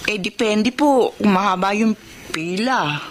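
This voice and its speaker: A woman's voice: medium pitch, expressive, measured pace, close to an everyday voice. An actress playing a meek, evasive young mother in a Filipino radio drama, hesitant, with a Filipino accent. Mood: polite, hesitant. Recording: slightly noisy radio drama audio.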